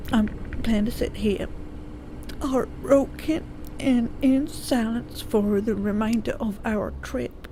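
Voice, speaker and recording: A woman playing a slow-talking, wounded mother, high-voiced. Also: a little mellow, slight background noise, poor audio. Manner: drawn-out, dramatic, hesitant pauses and sighs. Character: wounded, theatrical country mother, sarcastic and stubborn. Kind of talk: audio drama acting.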